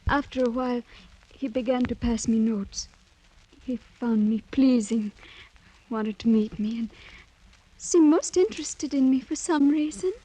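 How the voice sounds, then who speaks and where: A woman's voice: fairly high pitch, measured pace, pauses often, mellow, poor audio. An actress playing a proud, demure young French noblewoman in a radio drama, speaking formally and earnestly with dignity. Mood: troubled, hesitant. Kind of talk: 1940s radio drama acting.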